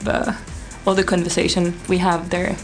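A woman's voice: fairly low pitch, completely flat delivery, moderate pace, soft background music. A young woman from France, a student guest, speaking shyly and sincerely. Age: young adult. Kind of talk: casual chat.

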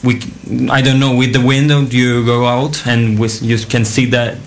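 A young man chats as a student guest with an Italian accent. His voice sits at a middle pitch and his English is loose and rambling. There is faint noise behind him. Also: level tone, normal speed. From Italy. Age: young adult. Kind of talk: casual, rambling chat in imperfect English.

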